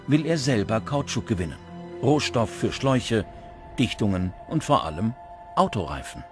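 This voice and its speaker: A man narrates a documentary from a script. His voice sits at a middling pitch, with music clearly audible behind him. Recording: music under the voice. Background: background music.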